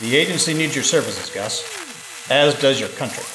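A man playing a serious government agent in an audio drama, formal, measured and persuasive. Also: mid-range voice, lively intonation, lightly acted. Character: serious male government agent recruiting a spy. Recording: echoey room, faint noise.

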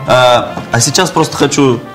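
A man walks through a dish step by step in a studio kitchen, joking and directing his helpers. His voice sits at an ordinary pitch, with music underneath. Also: normal speed, fairly bright tone. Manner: explains each step, joking, directs others. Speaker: guest cook. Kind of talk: cooking demonstration banter.

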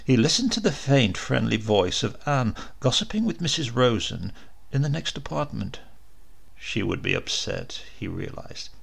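A man narrating a horror short story dramatically, with deliberate, suspenseful, theatrical emphasis. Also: mid-range voice, sing-song, gravelly, slightly rough. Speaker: audiobook narrator.

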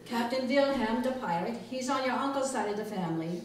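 A woman reading a scripted comic stage skit with a halting, folksy delivery. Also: on the high side, lively intonation. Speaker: amateur performer. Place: small library room before a live audience. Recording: echoey room, audience noise, distant mic.

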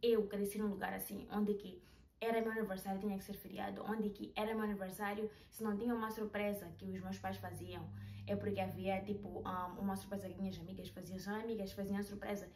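A woman tells a personal story in a chatty, animated way, filmed in a small bedroom with the camera some distance away. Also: medium pitch, fast. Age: 20s. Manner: chatty, animated, with hand gestures. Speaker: vlogger. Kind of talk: casual storytelling vlog. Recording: roomy, a little distant, faint hiss.

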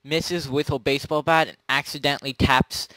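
A man running a tabletop zombie role-play as game master, narrating in a halting, improvised way. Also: on the high side, steady tone, sharp tone, no room sound, low-quality recording. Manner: halting, pieces scenes together as he goes.

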